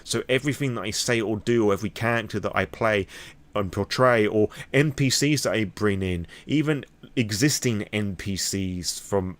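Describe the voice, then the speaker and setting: A man's voice: fairly low pitch, moderate pace. A British man rambling candidly and off the cuff in a vlog, opinionated and swearing freely. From Britain. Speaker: vlogger on tabletop roleplaying lore.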